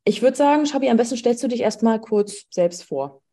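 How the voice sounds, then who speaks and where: A woman's voice: a little high, lively intonation, fairly quick, mellow. A young woman hosting a university podcast, interviewing a fellow student in a friendly, conversational way with some fillers. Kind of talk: casual interview chat.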